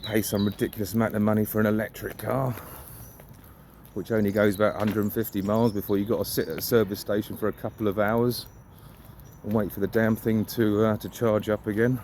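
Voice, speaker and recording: A man with a fairly low voice chats off the cuff while out walking. He muses and gives his opinions freely, with the odd mild swear word. Faint outdoor noise sits behind him. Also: little pitch movement, a little fast, darkish tone. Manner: musing, opinionated, mildly sweary. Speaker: vlogger. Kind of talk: casual rambling walk-and-talk monologue. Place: outdoors, walking suburban riverside streets. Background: outdoor ambience.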